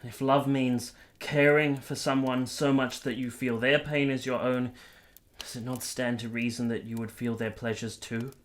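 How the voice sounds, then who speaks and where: An actor playing a lovestruck, conflicted nineteenth-century man in a radio drama, speaking in earnest, measured, literary phrases. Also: medium pitch, level tone, natural voice. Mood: tender, intimate. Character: Giorgio, lovestruck, conflicted 19th-century Italian man, confessional narrator. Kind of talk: radio drama narration and intimate dialogue.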